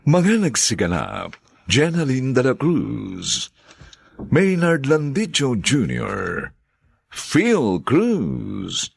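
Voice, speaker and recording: Male radio drama narrator, very animated intonation, unhurried, husky, theatrical scripted delivery. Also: medium pitch, no room sound.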